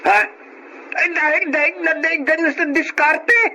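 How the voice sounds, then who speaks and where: A man's voice: very high voice, fairly even intonation, normal speed, sharp tone, heavily acted, rough recording. An older man acting a disappointed, domineering father in a Filipino radio drama, scolding in exasperation. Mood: confrontational. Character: disappointed, domineering father nagging his grown son. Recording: radio drama with faint background noise.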